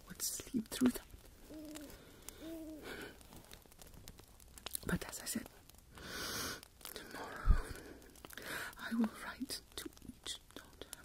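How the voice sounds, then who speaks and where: A woman playing a fretful, self-pitying older mother in a period ASMR roleplay, whispering plaintively with melodramatic, drawn-out phrases. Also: high-pitched, heavily acted, rough recording. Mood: complaining. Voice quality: breathy whisper.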